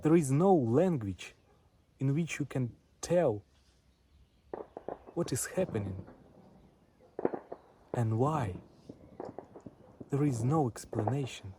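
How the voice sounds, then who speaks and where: A man with a Ukrainian accent giving a deliberate spoken address to a poetry audience in short, separated phrases. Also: medium pitch, lively intonation, background noise. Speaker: poet and front-line witness sending a message.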